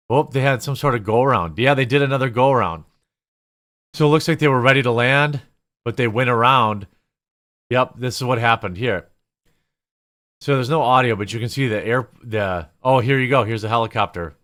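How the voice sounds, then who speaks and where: A man's voice: medium pitch, normal speed, a bit bright. A man hosting a news commentary show, speaking off the cuff in a blunt, opinionated, sometimes sarcastic way and reading bits of articles aloud. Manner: blunt, opinionated, rhetorical questions.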